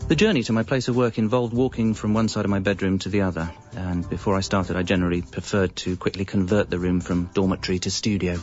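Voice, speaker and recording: A man's voice: deep voice, darkish tone, natural voice. An actor playing a lazy, evasive composer, narrating his own life in a dry, self-justifying way for a radio comedy. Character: lazy, evasive slacker jingle composer telling his story. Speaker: narrator and lead character. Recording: slightly muffled radio recording.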